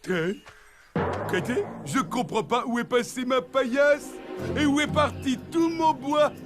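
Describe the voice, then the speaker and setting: A man's voice: extremely high pitch, a little slow. A man voicing a big bad wolf in a children's cartoon, theatrical, blustering and easily exasperated. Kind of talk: animated children's cartoon acting. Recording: music behind the voice. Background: music.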